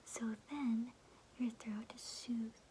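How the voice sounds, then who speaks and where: A woman voicing a chatty, doting grandmother in a soothing, breathy ASMR roleplay whisper, rambling in a folksy way. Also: a little high, fairly even intonation, slow, warm tone, a little hiss or hum. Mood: soothing, coaxing.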